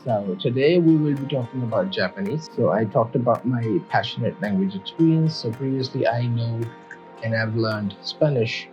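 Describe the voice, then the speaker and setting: A man's voice: mid-range voice, normal speed, dull audio, over music. Earnest man teaching a beginners' lesson. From Pakistan. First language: Urdu and Punjabi. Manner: earnest, repeats himself, restarts phrases. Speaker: language learner teaching beginners. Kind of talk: casual video lesson.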